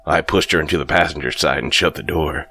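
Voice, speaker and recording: A man narrating a first-person horror story in a slow, brooding scripted read. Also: a bass voice, creaky, background noise.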